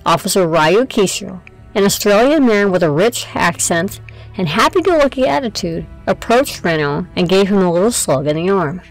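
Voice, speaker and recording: A woman's voice: medium pitch, animated, moderate pace, faint music behind, low-quality recording. A woman narrating a scripted audio drama as its storyteller.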